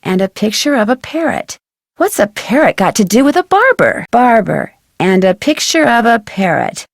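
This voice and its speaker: A woman voicing a curious, plucky young female amateur detective in a video game, thinking aloud in an inquisitive, expressive way. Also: fairly high pitch, moderate pace, no echo, studio quality, full character voice. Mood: musing, curious. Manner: inquisitive, musing to herself, crisply expressive.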